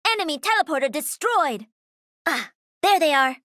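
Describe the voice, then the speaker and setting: A woman's voice: high voice, big pitch swings, moderate pace, fairly bright tone, no room sound, studio quality. A woman voicing a cocky, playful young gamer who pilots a mech in a video game, with punchy, teasing callouts. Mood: confident, upbeat. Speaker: voice actor.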